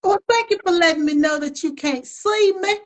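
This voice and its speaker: A woman's voice: extremely high pitch, moderate pace, faint music behind. A woman co-hosting a casual video-call chat, playfully teasing and restarting her words. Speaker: co-host.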